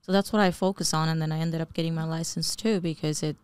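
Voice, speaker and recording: A woman's voice: low voice, steady tone, quick pace, darkish tone, clean tone, dry recording. A woman in her forties, from Bangladesh and raised in New Jersey, chatting as an interview guest, rambling and laughing often. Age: 40s. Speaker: real estate investor guest. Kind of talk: casual interview chat.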